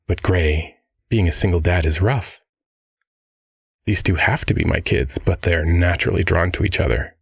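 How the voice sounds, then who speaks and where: A man's voice: deep voice, moderate pace, creaky, breathy edge, no room sound, clean studio sound, full character voice. A man playing a rich, affable but menacing crime-boss father in an ASMR audio drama, breezy, doting and casually threatening. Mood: musing, smug.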